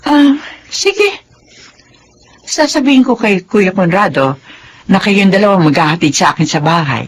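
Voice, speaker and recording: An actress playing a gentle, caring older mother and grandmother in a radio drama, speaking soothingly. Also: medium pitch, expressive, measured pace, slight background noise, close to an everyday voice. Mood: grateful.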